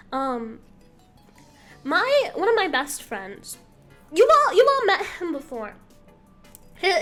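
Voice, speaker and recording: High-voiced young woman streamer, blunt. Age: young adult. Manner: candid, blunt, swears casually. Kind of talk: casual stream talk.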